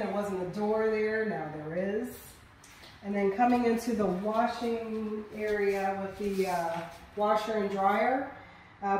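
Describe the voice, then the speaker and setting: A middle-aged woman giving a relaxed, chatty walkthrough of a house under renovation, filmed on a phone indoors. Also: medium pitch, distant mic, slight background noise. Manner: relaxed, chatty, pointing things out. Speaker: real estate investor giving a house tour. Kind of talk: casual walkthrough tour. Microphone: phone camera held by someone else. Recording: echoey empty rooms, voice off-mic.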